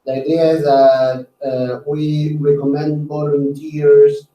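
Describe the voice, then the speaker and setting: A man on staff explaining things on a conference call, hesitant, with pauses between phrases. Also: fairly high pitch, monotone, highs cut off. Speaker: staff member. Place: echoey room on a conference call. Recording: echoey room, distant conference mic.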